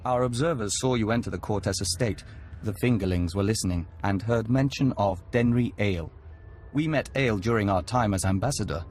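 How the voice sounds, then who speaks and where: A man playing a serene envoy joined to an alien hive in a video game, speaking with measured, mystical formality. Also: lowish voice, darkish tone, a little hiss or hum, close to an everyday voice.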